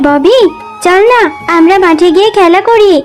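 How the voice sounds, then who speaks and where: A woman's voice: very high voice, moderate pace. A woman voicing a sweet, eager, earnest little girl in a children's cartoon. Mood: chatty, eager. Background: music.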